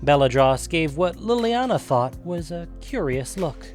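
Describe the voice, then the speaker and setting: A man narrating a scripted fantasy story in a measured, dramatic storytelling manner. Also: fairly high pitch, very animated, soft background music.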